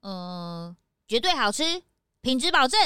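An eager young detective's sidekick in a children's radio play. The voice is very high and bright, swinging widely with earnest excitement. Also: female voice, unhurried, smooth, no room sound, studio quality, full character voice. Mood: earnest, curious. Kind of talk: children's audio drama acting.

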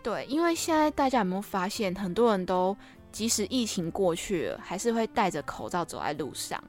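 A woman's voice: medium pitch, sing-song, brisk, a little mellow, soft background music. A young adult woman, chatting casually and playfully as a podcast host, teasing herself as she goes.

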